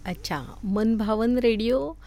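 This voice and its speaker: A woman from Maharashtra with a Marathi accent, giving casual, somewhat halting answers as an interview guest. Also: a little high, mellow. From Maharashtra, India.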